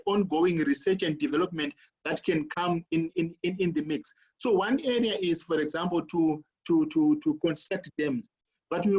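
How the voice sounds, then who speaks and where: A man from Zimbabwe, a professor speaking on a panel, who talks haltingly and often repeats words as he searches for them. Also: high voice, darkish tone, husky, muffled recording. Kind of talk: panel discussion talk on video call.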